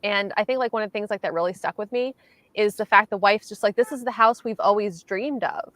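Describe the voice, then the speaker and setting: Dry-sounding woman, halting casual storytelling. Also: mid-range voice, fairly bright tone, dry recording. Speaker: host of reading livestream. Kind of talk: casual chat.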